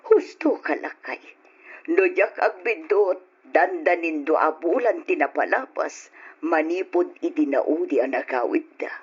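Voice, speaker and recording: An aging mother in an old radio play, gentle and fretful, sighing as she speaks. Her voice is husky and unhurried, swinging widely in pitch. The lo-fi sound marks an old radio recording. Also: animated, exaggerated voice. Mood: worried.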